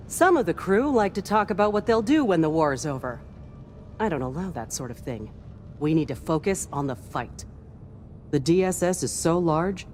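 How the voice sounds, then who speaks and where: A woman's voice: low-pitched, darkish tone, a little hiss or hum. A woman playing an earnest, devoutly patriotic starship crew chief in a video game, speaking with reverence and a sense of duty. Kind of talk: scripted video game character lines.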